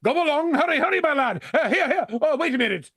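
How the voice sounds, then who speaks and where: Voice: high-pitched, animated, fast talker, a bit bright, dry recording, clean studio sound. An actor playing an elderly reformed miser in a vintage radio drama, stammering and exclaiming with great feeling. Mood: excited, genial. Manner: stammering, breathless exclamations with frequent chuckles.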